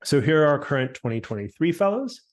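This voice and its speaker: A man from the program staff presenting slides on a video call, listing names at a steady clip. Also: on the high side. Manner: brisk listing, slowing on names.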